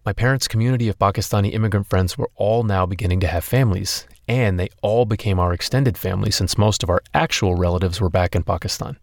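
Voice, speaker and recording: A young man with a lowish voice narrates his own story in a podcast. The sound is dry and close. His telling is candid and personal. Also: quick pace, creaky, hardly any pauses, a little mellow, dry recording. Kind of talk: scripted narration and interviewing.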